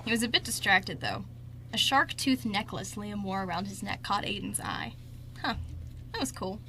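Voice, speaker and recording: A woman's voice: medium pitch, normal speed, sharp tone, a little hiss or hum, rough recording. A woman narrating a scripted story as host of an amateur radio show.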